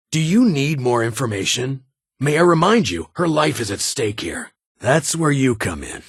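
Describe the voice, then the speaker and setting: An actor playing a stern, guarded army lieutenant colonel in a video game, with formal, clipped, duty-bound delivery and a gravelly edge. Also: male voice, medium pitch, dry recording, clean studio sound. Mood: urgent briefing. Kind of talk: video game dialogue acting.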